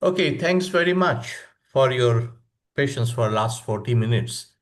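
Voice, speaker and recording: An older man with a Bangladeshi accent gives an informal presentation on a video call. He speaks very slowly, in a halting and reflective manner, with a mid-pitched voice. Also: expressive. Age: 60s or older. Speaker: professor of palliative medicine, guest speaker. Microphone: online video call.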